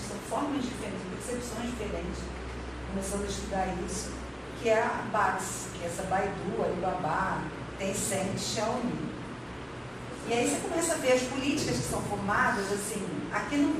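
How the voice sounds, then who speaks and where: A distant, very echoey recording of a Brazilian woman presenting research in an academic talk. Her voice is on the high side, and she speaks in a halting, explanatory way, often restarting phrases and adding little tags for agreement. There is clear noise behind her. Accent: Brazilian Portuguese.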